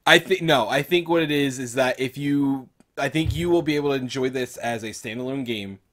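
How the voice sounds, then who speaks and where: A man's voice: medium pitch, fairly quick, fairly bright tone. A man on a gaming show panel, thinking aloud as he explains things in casual discussion. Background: music behind the voice.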